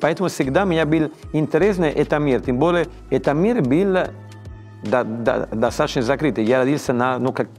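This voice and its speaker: A man in his fifties with an Italian accent, speaking Russian as a second language in a studio interview, halting and often restarting words. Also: medium pitch, a little mellow, faint music behind. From Italy. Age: 50s. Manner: halting, frequent restarts and stammers, searching for words. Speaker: chef, interview guest. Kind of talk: casual interview conversation. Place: dark indoor studio set, seated in armchair.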